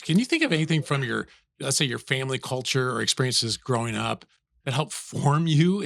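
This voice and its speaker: A man's voice: medium pitch, creaky, no echo, studio quality. A man hosting a podcast, giving a polished, enthusiastic introduction and asking questions. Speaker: podcast host.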